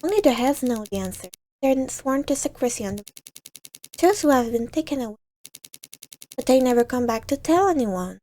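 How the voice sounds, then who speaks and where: A woman's voice: high-pitched, lively intonation, normal speed, mellow. A woman reading visual novel narration aloud in a dramatic storytelling way, now and then stumbling over words.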